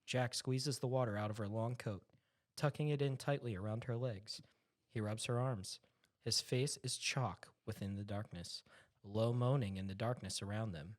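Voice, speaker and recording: A man's voice: lowish voice, normal speed. A man in his twenties reading screenplay directions aloud as narrator, dramatic with an amused edge. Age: 20s.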